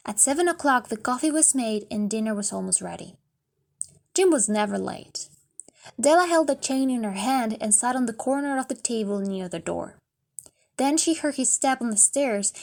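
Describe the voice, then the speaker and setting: Female audiobook narrator: fairly high voice, careful and deliberate scripted storytelling. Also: a little mellow. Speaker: audiobook reader.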